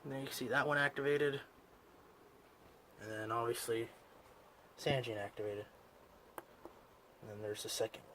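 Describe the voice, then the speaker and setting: Faint noise sits behind a man with a mid-pitched voice. He talks casually, like a video host commenting on his hobby gear and addressing his viewers directly. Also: flat delivery, moderate pace, pauses often, a bit bright.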